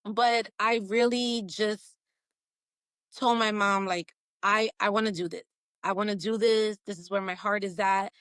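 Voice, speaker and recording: A woman's voice: medium pitch, fairly even intonation, measured pace, a bit bright, no echo. A woman from Puerto Rico chatting as a podcast guest, telling stories with lots of casual fillers. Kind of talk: casual interview chat.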